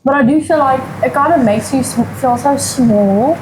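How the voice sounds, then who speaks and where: A woman's voice: high voice, moderate pace. A woman with an Afrikaans accent, a native Afrikaans speaker, chatting candidly as a guest, often trailing off to search for words. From South Africa. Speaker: podcast guest. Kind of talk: casual chat. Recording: noisy room recording.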